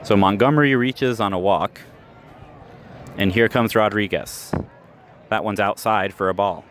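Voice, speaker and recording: A man calling baseball play-by-play in radio style, measured between pitches and lifting sharply on big plays. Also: fairly bright tone. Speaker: play-by-play announcer. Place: ballpark stands, outdoors. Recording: live ballpark recording with outdoor noise.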